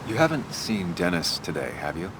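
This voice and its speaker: A man's voice: deep voice, sing-song, moderate pace, noisy background. An actor playing a guarded, dry-witted American journalist in his thirties, understated, in naturalistic video game style. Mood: guarded, friendly.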